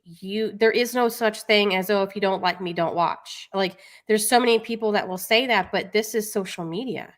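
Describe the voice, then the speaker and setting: A woman's voice: mid-range voice, normal speed, few pauses. A woman co-host speaks in a mid-range voice. She sounds matter-of-fact and explains things plainly. Her tone is casual and conversational. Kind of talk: casual livestream commentary.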